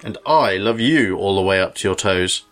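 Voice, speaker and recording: A man voicing a big, gentle, loving grown-up hare in a storybook, tender and unhurried, over music. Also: deep voice, lively intonation. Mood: fond, patient. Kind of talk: storybook character reading.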